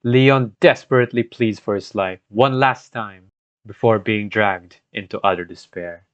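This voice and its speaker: A man's voice: fairly low pitch, a little slow, a little hiss or hum. A man narrating a scripted video retelling of a game story, adding his own commentary as a gaming content creator. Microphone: cheap home microphone, voice-over. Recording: dull lo-fi scuffed home microphone.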